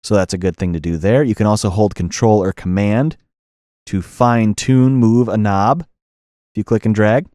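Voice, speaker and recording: A man narrating a software tutorial as an instructor, in a methodical, explanatory way. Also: lowish voice, normal speed, no room sound, clean studio sound.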